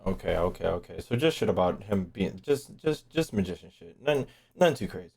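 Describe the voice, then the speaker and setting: A man's voice: low-pitched, big pitch swings, a little fast, gravelly, a little mellow, slightly rough. A man giving casual gaming-stream reaction commentary, slangy and profane, often repeating himself.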